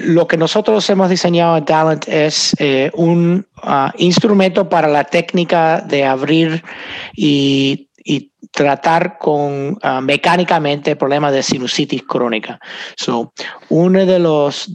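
Man, hesitant answers, fairly high pitch, dry recording. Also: dry recording. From United States (raised in Miami). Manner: hesitant, many filler sounds. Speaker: doctor and founder, guest. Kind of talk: interview answers.

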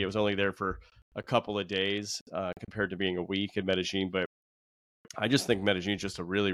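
A man with a low voice hosts an interview, talking in a loose, rambling way and leaning on fillers as he gathers his thoughts. The recording is dry and close, with almost no room sound. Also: no room sound. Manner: relaxed and rambling, with many fillers. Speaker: host. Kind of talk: conversational interview.

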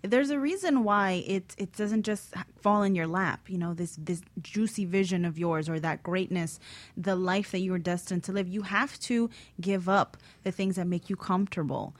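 A woman's voice: fairly low pitch, normal speed, no echo. Earnest woman on a dry mic. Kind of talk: casual talk show discussion.

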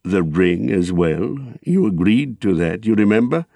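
A man voicing a grave old wizard in a storybook reading, patient, watchful and stern, speaking in a measured, thoughtful way. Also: on the low side, expressive, vocal fry, warm tone, no room sound, exaggerated voice. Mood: quietly firm.

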